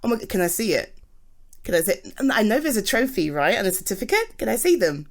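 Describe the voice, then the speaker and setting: A British woman acting as a doting, supportive girlfriend in an intimate ASMR roleplay, affectionate and halting as she talks to her partner. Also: mid-range voice, sing-song. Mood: thrilled, proud. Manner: gushing, affectionate, halting with sighs and repeats.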